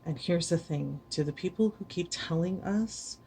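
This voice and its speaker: A woman's voice: fairly low pitch, normal speed, mellow, a little hiss or hum, low-quality recording. A weary woman streamer giving an earnest, impassioned speech to camera, repeating words for stress. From United States.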